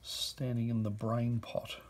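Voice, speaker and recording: A man presenting an auction lot, reading postcard captions aloud in short, unhurried bursts at a desk. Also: medium pitch, little pitch movement. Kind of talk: reading out postcards while browsing. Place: indoor office desk.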